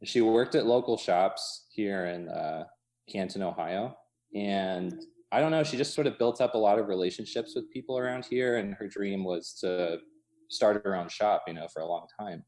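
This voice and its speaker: A man talking casually as an interview guest, in an informal, halting way full of hedging asides. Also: on the low side, a little mellow, a little hiss or hum. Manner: informal, halting, many hedging asides, chuckles through speech. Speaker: interview guest, coffee shop co-owner. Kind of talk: casual chat. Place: inside his cafe.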